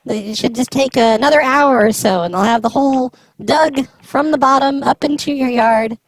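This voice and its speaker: Woman playing female city official, high voice, flippant, blunt, dismissive, improvised prank phone call. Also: moderate pace, dry recording. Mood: flippant, nonchalant. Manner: blunt, brusque, nonchalant.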